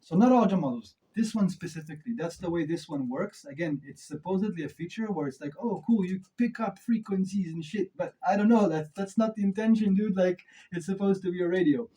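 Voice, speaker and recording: A man's voice: sits high, a little fast, hardly any pauses, warm tone, husky, low-quality recording. A man giving a casual, off-the-cuff gear review as a presenter, speaking in an informal, opinionated way with some mild swearing. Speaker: presenter reviewing synth modules.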